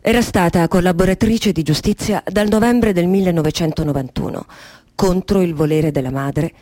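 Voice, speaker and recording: An actress gives a sober female narration for a documentary radio play. The timbre is soft-edged and dark, set a little low. The sound is dry and close. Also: moderate pace, no room sound, natural voice. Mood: grave.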